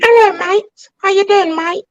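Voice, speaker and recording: A woman's voice: very high-pitched, measured pace, no echo, highs cut off. A woman voicing a cheery tea-sipping Brit who keeps saying "mate," in an exaggerated put-on British accent. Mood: chirpy.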